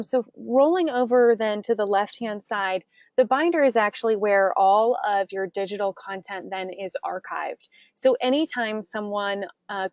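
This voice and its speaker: An American woman speaks as a webinar host at a middling pitch, explaining features and prompting a guest with encouraging questions. The sound is dry and a little muffled, as online meeting audio often is. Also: darkish tone, dry recording. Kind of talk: interview and guided software demonstration.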